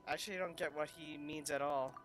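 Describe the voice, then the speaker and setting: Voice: medium pitch, fairly even intonation, quick pace. A gamer giving casual commentary over a story quest playthrough. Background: game music.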